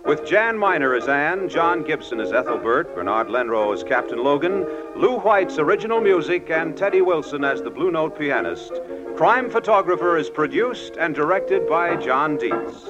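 A man's voice: fairly high pitch, lively intonation, moderate pace. An American man announcing in scripted 1950s network radio style. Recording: muffled old radio recording, music behind.

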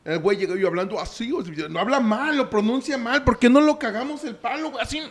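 A man's voice: very high voice, expressive, fast talker, a crowd in the background. A man with a Mexican accent co-hosting a casual, crude, joking movie recap chat, full of slang and rants. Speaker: podcast co-host.

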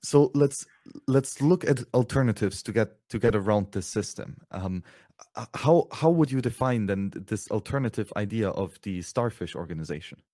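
A man hosting a podcast interview, speaking in a measured way and asking guiding questions. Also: fairly low pitch, animated, warm tone, no echo, clean studio sound. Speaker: host, interviewer.

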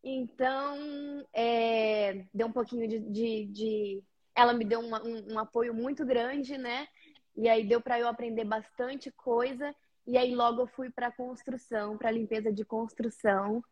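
A woman's voice: fairly high pitch, steady tone, a little slow, darkish tone, dull audio. A woman from Paraná, Brazil, a guest on a video-call interview, friendly and slightly nervous, with many fillers. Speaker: interview guest, house cleaner. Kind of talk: casual interview.

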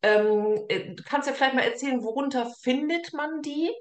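A woman's voice: sits high, moderate pace. A middle-aged woman hosting a casual online author interview in a chatty, informal way. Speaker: interview host. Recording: echoey, roomy sound.

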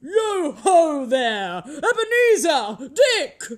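Woman as exuberant old storybook master, high-pitched hearty cries, rich fat voice, swooping, jolly. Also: big pitch swings, heavily acted. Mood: hearty, calling out. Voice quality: rich, oily, fat, comfortable voice. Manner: hearty calling-out with drawn-out cries. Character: jovial, booming old male merchant, exuberant master.

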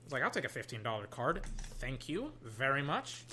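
Young adult, slightly hoarse, lively sing-song pitch, bright sharp tone, hyped then muttering. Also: normal speed, a little hiss or hum. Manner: excitable, swings between loud hype and low muttering; swears casually, trails off and restarts. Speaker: card-opening vlogger. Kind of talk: casual commentary while opening card packs. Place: at a desk indoors.

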